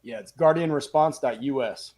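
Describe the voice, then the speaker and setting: A man who trains security teams, a podcast guest talking in an earnest, measured way. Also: mid-range voice, a little mellow. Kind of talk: casual podcast talk.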